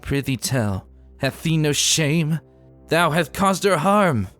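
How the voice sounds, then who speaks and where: A man's voice: a little high, expressive, slow, a bit bright, pitched above the performer's own voice. Guy doing a snooty pony princess, old timey royal talk, scornful, voice pushed up, music behind. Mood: scornful, angry. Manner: archaic, imperious, scornful speech. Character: haughty, scornful regal pony moon princess. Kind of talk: dramatic fantasy story reading.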